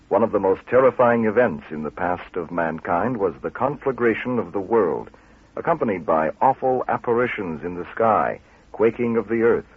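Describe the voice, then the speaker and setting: A man delivering scripted documentary narration in a grave, formal, measured manner. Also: low-pitched, slightly rough. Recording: tinny, band-limited radio recording.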